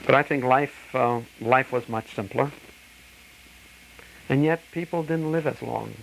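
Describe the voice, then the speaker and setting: An older man from Slovakia, recounting his life in a measured, slightly formal way. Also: mid-range voice, vocal fry, a little hiss or hum, poor audio. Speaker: interviewee. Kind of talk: personal oral-history reminiscence.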